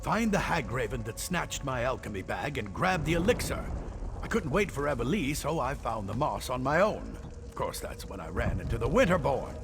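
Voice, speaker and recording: An actor playing an unflappable, dryly wry adventuring alchemist in a video game, speaking in measured, formal phrases. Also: male voice, mid-range voice, very animated, over music. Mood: strained, urgent. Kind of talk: video game character acting.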